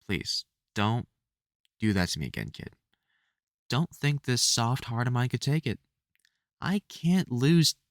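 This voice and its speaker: A man playing a soft-hearted, protective vampire hunter mentor in an intimate audio roleplay, speaking fondly and hesitantly with wry exasperation. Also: mid-range voice, sing-song, a little slow, long pauses, no room sound, clean studio sound. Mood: tender, relieved. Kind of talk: intimate audio roleplay acting addressed to the listener.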